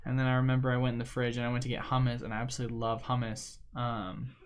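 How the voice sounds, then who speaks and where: A man's voice: mid-range voice, monotone, fast, smooth. A young man hosting a livestream, chatting casually and rambling with lots of fillers and slang while he plays a game. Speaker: livestream host. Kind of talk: casual chat while gaming.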